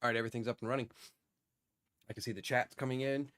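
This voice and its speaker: A hoarse man hosting a livestream, talking through security news in a casual, rambling way with plenty of fillers. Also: mid-range voice, fairly even intonation, fast talker, fairly bright tone. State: hoarse from being sick. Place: home studio, lives in Singapore.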